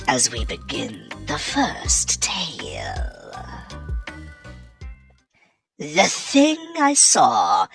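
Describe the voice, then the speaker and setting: A woman playing a theatrical, gleefully ominous hostess of Halloween horror tales, with drawn-out delivery and a creaky voice. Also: deep voice, fairly bright tone, full character voice. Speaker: host. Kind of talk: theatrical horror anthology narration.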